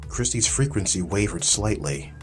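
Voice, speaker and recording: A man narrates a dramatic audiobook from a script. His voice is deep, and music plays clearly behind it. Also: sing-song, moderate pace.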